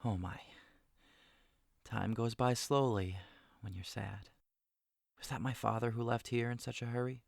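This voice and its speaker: A man's voice: mid-range voice, steady tone, measured pace, gravelly, pauses often, no room sound. Man voicing a lovesick young man, melancholy, sighing, dry close studio sound. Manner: sighing, wistful, swings into passionate outbursts. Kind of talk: audio drama acting.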